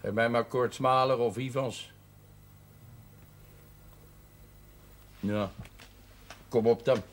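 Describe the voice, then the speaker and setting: A man playing a gruff, drunken socialist father, coarse, scornful and blustering, in a television drama. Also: mid-range voice, fairly even intonation, unhurried, lightly acted. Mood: combative. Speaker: actor in a television drama series. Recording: noisy old television soundtrack.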